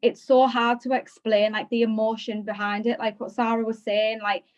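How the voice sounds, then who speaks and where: A woman's voice: on the high side, flat delivery. Earnest woman on a dull call line. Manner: earnest, rapid, heavy use of "like". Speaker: guest team member sharing takeaways. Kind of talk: casual chat on a video call. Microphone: video call, phone balanced on a bag.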